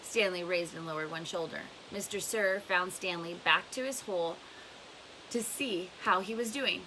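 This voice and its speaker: A woman's voice: on the low side, normal speed, pushed and bright, rough recording. A woman narrating a book aloud in a storytelling style. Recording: faint hiss behind voice.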